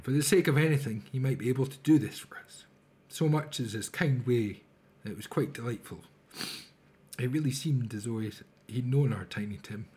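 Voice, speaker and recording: Gentle grieving Victorian father, read by a man. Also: mid-range voice, moderate pace, slight background noise, natural voice. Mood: warm, subdued.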